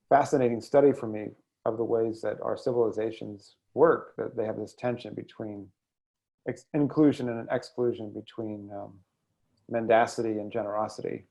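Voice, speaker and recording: A man answering as an interview guest in a thoughtful, halting, measured way. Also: medium pitch, creaky, mellow. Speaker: guest, editor and poet. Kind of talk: reflective interview conversation.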